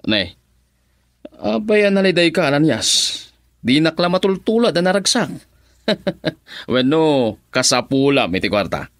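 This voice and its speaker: A man's voice: on the high side, big pitch swings, measured pace, pauses often, no room sound, clean studio sound. A man playing a breezy, self-assured young visitor to his home province in an Ilocano radio drama. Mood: jovial.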